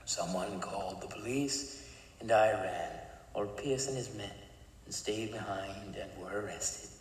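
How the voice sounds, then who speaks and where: A man playing a disfigured criminal chemist, speaking in a calm, detached, matter-of-fact way in an audio drama. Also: on the low side, a little slow, lightly acted. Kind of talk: fan audio drama acting. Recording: echoey, distant room with faint noise.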